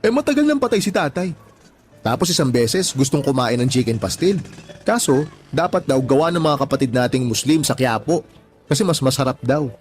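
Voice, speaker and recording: A man's voice: mid-range voice, fairly quick, lightly acted. A man playing a chatty, advice-giving family man. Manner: animated storytelling, advice-giving. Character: chatty older friend, teasing and advising. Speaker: friend giving advice, father of four. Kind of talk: radio drama acting. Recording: faint music bed behind voice.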